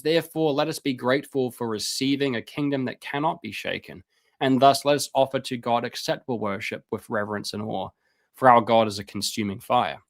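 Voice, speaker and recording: A man on a live stream reading Bible passages aloud and commenting on them, with casual asides and his voice going hoarse. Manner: reads scripture aloud then comments, rises in pitch when fervent; casual asides, repeats words, clears throat. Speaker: streamer teaching on scripture.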